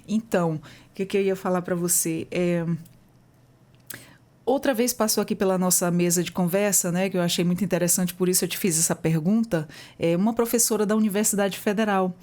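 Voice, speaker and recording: A woman's voice: on the low side, steady tone, a little fast, darkish tone. A woman hosting an interview in a chatty, enthusiastic way, sharing personal stories and reacting with exclamations. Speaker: interview host. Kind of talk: conversational interview.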